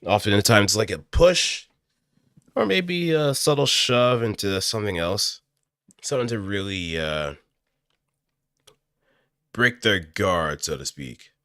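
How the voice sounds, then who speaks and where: A man with a low voice that still has a bright, forward edge. He talks in an off-the-cuff, explanatory way, musing aloud as he works through ideas. The recording is close and very dry. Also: lively intonation, slow, long pauses, dry recording. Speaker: amateur voice actor. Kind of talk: casual improvised explanatory commentary. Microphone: close studio microphone through an audio interface.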